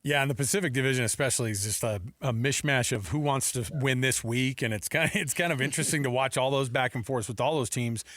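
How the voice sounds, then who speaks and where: A man's voice: mid-range voice, quick pace, few pauses, fairly bright tone, dry recording. A man hosting a sports radio show, chatting casually about the games.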